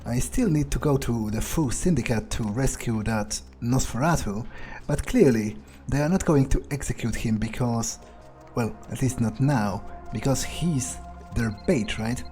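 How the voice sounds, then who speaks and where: A man playing a cold, smug vampire in a video game playthrough, musing aloud in a deliberate, sardonic way. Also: mid-range voice, mellow, lightly acted. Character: cold, smug, ruthless male vampire on the prowl. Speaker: gamer role-playing in character. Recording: faint music behind the voice.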